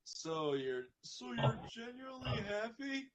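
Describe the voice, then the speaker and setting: A man voicing a young male cartoon dragon, a worried friend who speaks haltingly and earnestly. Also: extremely high pitch, sing-song, pitched above the performer's own voice, full character voice. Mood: doubtful.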